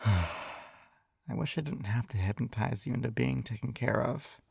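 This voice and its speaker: A man's voice: medium pitch, a little slow, vocal fry, a little mellow, slightly rough, no echo, studio quality, heavily acted. A man playing a possessive, doting hypnotist captor in an intimate ASMR roleplay, coaxing and soothing, often slipping into a whisper. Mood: smug, doting. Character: possessive, doting male yandere hypnotist captor, gently controlling.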